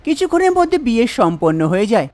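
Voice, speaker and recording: A woman's voice: fairly high pitch, sing-song, racing, darkish tone. A woman narrating a scripted cartoon folk story as its storyteller.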